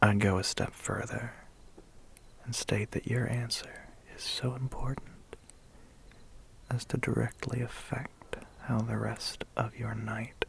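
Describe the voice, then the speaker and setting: A man voicing a suave, menacing vampire biker in an ASMR roleplay, slow and teasing with a breathy, gravelly half-whisper. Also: mid-range voice, level tone, heavily acted. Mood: quiet menace. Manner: slow, teasing, quietly threatening.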